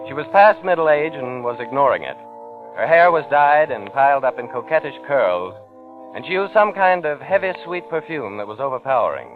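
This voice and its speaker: A man's voice: medium pitch, sing-song, fairly quick, over music, close to an everyday voice. An actor playing a brooding, wry man in a vintage radio suspense drama, with muffled old sound. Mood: brooding, tense. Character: brooding, sardonic man, haunted narrator of suspense tale. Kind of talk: 1940s radio acting, first-person narration.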